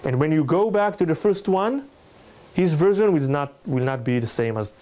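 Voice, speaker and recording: A man in his thirties with an Israeli accent, lecturing in halting but emphatic non-native English. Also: on the high side, lively intonation, mellow, slight background noise. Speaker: lecturer, NGO researcher. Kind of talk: public lecture with slides. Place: indoor salon room, speaking to a small audience.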